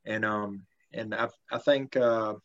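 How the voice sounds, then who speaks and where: A man, a country singer talking casually in an interview in a relaxed, polite way with many fillers. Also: on the low side, slow, gravelly. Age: 30s. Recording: slightly dull lo-fi sound.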